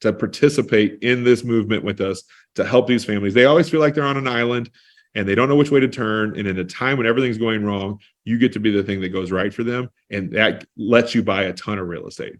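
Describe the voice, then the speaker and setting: A man's voice: fairly low pitch, fast talker. A man in his forties, a guest in a casual interview, speaking with an enthusiastic, emphatic manner. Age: 40s.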